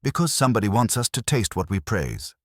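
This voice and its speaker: A man voicing a wry, quick-witted detective's assistant in a scripted story, deadpan. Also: on the low side, no room sound, studio quality, pitched above the performer's own voice. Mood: pointed.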